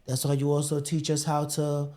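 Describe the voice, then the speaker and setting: A male talk-show host with a mellow, relaxed tone chats casually with his guest. His questions ramble in a colloquial, easygoing way. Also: a little high, normal speed. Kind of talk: casual interview chat.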